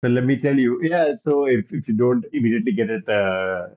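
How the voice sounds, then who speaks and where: A man's voice: medium pitch, fairly even intonation, a little fast. A man co-hosting a casual quiz podcast, patiently giving hints and laughing often. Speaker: co-host, quizmaster. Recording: tinny phone-like line.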